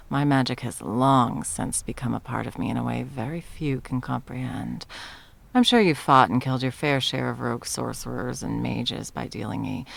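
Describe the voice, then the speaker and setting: A woman's voice: very deep voice, gravelly, full character voice. A woman voicing an arrogant, undying sorceress tyrant in an ASMR roleplay, taunting, theatrical and condescending. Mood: condescending menace. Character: arrogant undying sorceress tyrant, mocking, easily bored, playful. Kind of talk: ASMR roleplay audio drama.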